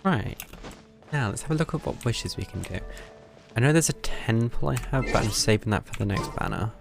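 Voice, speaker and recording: A man's voice: mid-range voice, animated, a little fast, vocal fry. A man giving casual live gameplay commentary, muttering drily to himself as he plays. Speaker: game streamer. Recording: game music behind the voice. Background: video game music.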